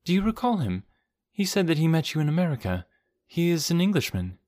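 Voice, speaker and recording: Audiobook role: concerned young female friend, done by a man, raised pitch, earnest, gently explanatory. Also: very animated, a little fast, no echo, studio quality. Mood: chatty, curious. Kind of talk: audiobook character voice.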